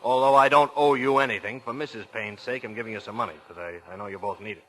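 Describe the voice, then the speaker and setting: An actor playing a firm, middle-aged American small-business boss, frank and clipped, easily exasperated, in 1940s radio suspense style. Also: male voice, medium pitch, fast, a bit bright, close to an everyday voice, low-quality recording. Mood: firm, dismissive.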